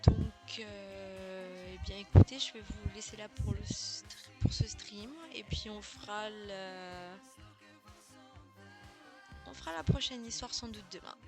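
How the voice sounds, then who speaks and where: A woman's voice: medium pitch, takes it slowly. Chatty woman wrapping up a game stream. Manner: chatty, with many hesitations. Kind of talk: casual gameplay stream chat. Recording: game music playing behind.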